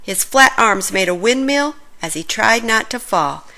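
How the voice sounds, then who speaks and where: A woman reading a children's story aloud in an expressive, animated way, with deliberate phrasing for young listeners. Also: on the high side. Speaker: storybook reader.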